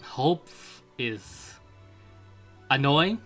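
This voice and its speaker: A man's voice: mid-range voice, expressive, very slow, a bit bright, soft background music. A young man co-hosting a gaming podcast, giving blunt, short opinions in a casual chat. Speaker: podcast co-host.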